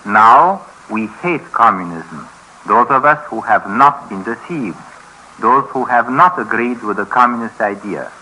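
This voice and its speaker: An actor playing a grave, educated escapee from communist Eastern Europe, speaking formally and deliberately in a 1950s radio docudrama. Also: male voice, mid-range voice, lively intonation, bright, forceful tone. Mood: solemn warning. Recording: noisy vintage radio recording.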